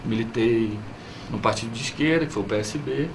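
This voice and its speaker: A man's voice: medium pitch, a little fast, low-quality recording. A man from Bahia with a Brazilian accent, answering as a political interview guest in hesitant phrases with frequent tag questions. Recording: clear background noise.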